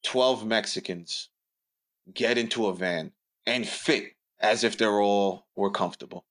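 A man's voice: on the low side, measured pace, fairly bright tone. Blunt young New man telling stories. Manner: blunt, storytelling, builds up jokes. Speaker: podcast co-host. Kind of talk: casual storytelling chat.

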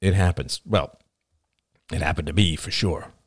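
A man's voice: low voice, moderate pace, husky, no echo, studio quality. A man playing a protective, stubborn brother-in-law in an audiobook, urgent but restrained and earnest. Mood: calm.

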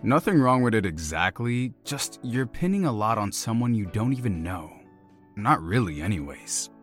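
A man's voice: fairly low pitch, sing-song, normal speed, faint music behind. An actor playing a cocky, flippant young womanizer in an audio drama, teasing and sarcastic. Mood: skeptical. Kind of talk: naturalistic audio drama acting.

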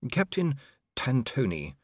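A man's voice: medium pitch, wildly swooping pitch, takes it slowly, dry recording, pitched above the performer's own voice, exaggerated voice. A man voicing a fussy, anxious diplomatic clerk in an audiobook, hesitant, careful and deferential. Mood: formally polite.

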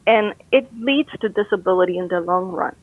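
A woman's voice: fairly low pitch, a little fast. Woman from costa rica giving brain health tips, upbeat, thin phone sound, no room echo. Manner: upbeat, encouraging, laughs easily. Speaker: brain health expert guest. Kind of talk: casual interview advice. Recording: tinny phone line.

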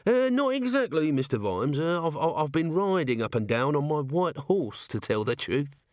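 A man puts on a twitchy little corporal, pitched well above his own voice, stammering and hedging at every turn. The reader's voice jumps up and down in pitch as the character squirms. He records this in a dry, close room. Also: big pitch swings, moderate pace, darkish tone, dry recording. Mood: nervous. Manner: stammering, hesitant, full of "sir"s. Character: nervous little male corporal, shifty and evasive. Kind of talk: audiobook character voice.

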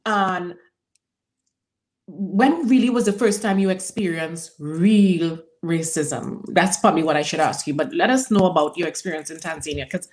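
A woman's voice: on the low side, normal speed. Woman running a panel talk, emphatic, repeats herself for punch. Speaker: panel host. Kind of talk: panel discussion moderating.

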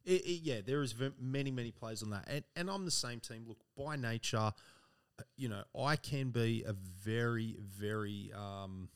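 A man's voice: mid-range voice, moderate pace, smooth. A man co-hosting a podcast in casual chat. He speaks earnestly and keeps saying "you know" and "team". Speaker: podcast co-host.

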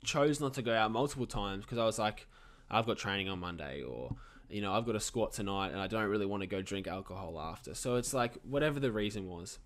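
An earnest nineteen-year-old Australian man hosting a casual podcast chat. His voice sits fairly low, and he works through his thoughts out loud with frequent restarts. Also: lively intonation, fairly quick. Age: teens. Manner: earnest, thinking aloud with restarts. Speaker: podcast host.

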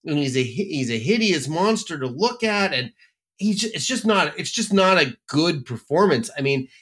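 A man's voice: a little high, lively intonation, moderate pace, a bit bright, husky. Blunt, emphatic male film critic. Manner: blunt, emphatic, exasperated opinions. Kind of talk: casual review chat.

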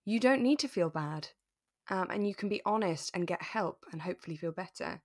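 Low-pitched woman in casual podcast chat. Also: lively intonation, fairly quick. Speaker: podcast co-host. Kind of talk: casual chat about a radio drama.

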